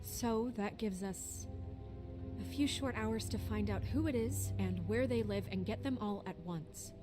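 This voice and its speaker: A woman's voice: medium pitch, measured pace, lightly acted. An actress playing a level-headed young woman official in a game who is firm and reasoning. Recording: game audio with music behind.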